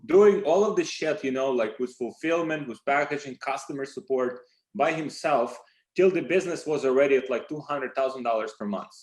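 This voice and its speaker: A man's voice: mid-range voice, brisk, darkish tone. Give me a male interview host with a loose, casual way of talking, dropping "like" and the odd swear word.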